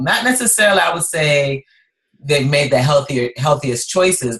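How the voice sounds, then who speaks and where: A woman's voice: extremely low pitch, rough recording. Upbeat woman in her 40s, guyana-born brooklyn girl, chatty podcast guest. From Guyana, raised in Brooklyn. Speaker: guest chef and health coach. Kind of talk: casual podcast chat.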